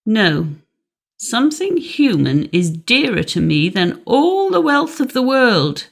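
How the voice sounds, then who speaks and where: Storybook dwarf, performed by a woman: small cunning man, taunting and exclamatory, slow delivery with some gravel. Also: a little high, sing-song, exaggerated voice. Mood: firm. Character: gleeful, cunning little male dwarf who turns furious. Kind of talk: fairy tale audiobook character.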